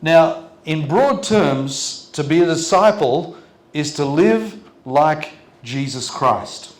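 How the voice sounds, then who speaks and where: An older man with an Australian accent gives a devotional sermon through an interpreter, keeping his phrases short and gentle. His voice sits a little high for a man. The sound carries the strong echo of a large room. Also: expressive, slow pace. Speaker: visiting pastor. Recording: roomy hall echo.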